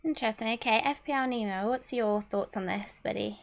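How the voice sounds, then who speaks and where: A woman's voice: fairly high pitch, fairly quick, gravelly. A woman hosting a casual football panel over a video call, upbeat and chatty as she brings in each guest. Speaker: host.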